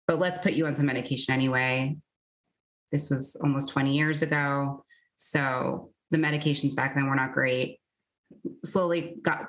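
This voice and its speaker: A woman in her thirties from New Jersey, talking candidly and reflectively as a guest in a casual interview. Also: low-pitched. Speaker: podcast guest.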